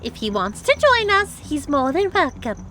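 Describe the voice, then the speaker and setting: A woman's voice: sits high, sing-song, normal speed, a crowd in the background. A woman voicing an elegant, teasing teenage girl with a theatrical, genteel manner in a cartoon fan drama. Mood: teasing, gracious. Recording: very noisy, clicky recording.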